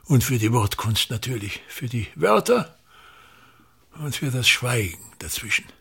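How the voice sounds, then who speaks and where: A man's voice: mid-range voice, big pitch swings, a little slow, creaky, a bit bright, slightly rough, full character voice. An older actor in a radio play, playing a pompous, embittered old touring stage actor and tyrannical father who declaims and complains theatrically. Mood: imperious, weary.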